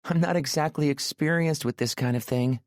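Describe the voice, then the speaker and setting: A man's voice: fairly high pitch, normal speed, no room sound, studio quality, pitched above the performer's own voice, lightly acted. A man voicing a shy, playful young woman who teases lightly but sounds a little nervous.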